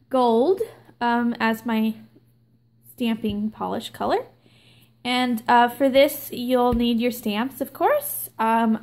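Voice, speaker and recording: A young woman hosting her own nail art channel, explaining a stamping tutorial slowly and with many ums. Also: fairly high pitch, creaky. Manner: slow, filler-heavy explaining with many ums. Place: indoors at a table, hands filmed from above.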